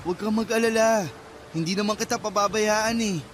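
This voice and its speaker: An actor playing a gentle, protective, self-doubting young man in a Filipino radio drama, earnest and reassuring. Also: high-pitched, brisk, a little hiss or hum.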